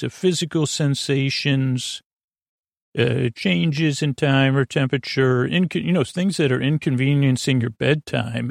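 A man hosting a bedtime podcast in a creaky voice, meandering gently with hesitations, self-interruptions and a silly, lulling manner. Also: mid-range voice, no echo, studio quality. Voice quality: creaky, gravelly. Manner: soothing, lulling. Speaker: podcast host. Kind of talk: rambling bedtime podcast monologue.

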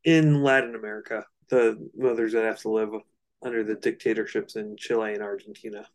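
Low, fairly monotone man co-hosting. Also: brisk. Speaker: podcast co-host. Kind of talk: casual podcast discussion.

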